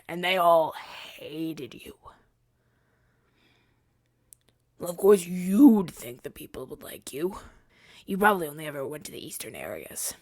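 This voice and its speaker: A man's voice: a little high, a bit bright, dry recording, studio quality. A man playing an earnest, defensive hero of a fantasy rebellion in an audio roleplay, arguing in a conversational, stammering, exasperated way. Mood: frustrated, pleading. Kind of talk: ASMR-style audio roleplay acting.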